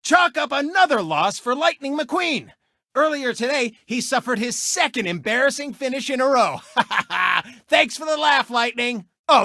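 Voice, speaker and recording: A man's voice: very high-pitched, bright, forceful tone, no room sound, clean studio sound, heavily acted. A man voicing a cocky, gloating cartoon race car who hosts a sports show in a video game, brash and theatrical. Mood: gloating, taunting. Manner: brash, smug, theatrical showman. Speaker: racer and TV racing commentator. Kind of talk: animated video game sports commentary and taunts.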